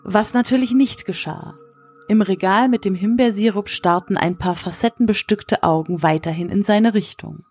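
A woman narrating a scripted story in a measured, literary reading style. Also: medium pitch, a little mellow, slightly rough, faint music behind.

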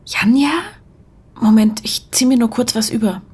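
An actress playing a wry, affectionate woman musician with a husky voice and soft, dryly teasing delivery in a naturalistic audio drama. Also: fairly high pitch, normal speed.

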